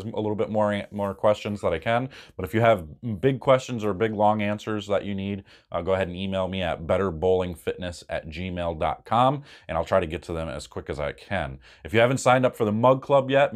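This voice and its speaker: A man in his thirties explaining bowling technique step by step to a camera in a small indoor room, casual and reassuring, with an occasional "uh". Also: on the low side. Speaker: bowling coach. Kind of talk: casual instructional talk to camera.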